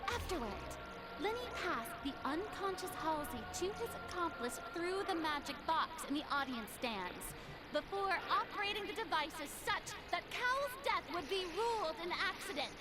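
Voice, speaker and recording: A woman's voice: very high voice, big pitch swings, normal speed, over loud music, rough recording. A woman playing a haughty, dramatic young lady prosecutor in a video game, speaking in a smug, theatrical way. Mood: smug, accusing.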